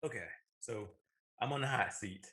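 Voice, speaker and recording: A man's voice: medium pitch, moderate pace, a bit bright. A man co-hosting a movie talk show, chatting casually. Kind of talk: casual chat.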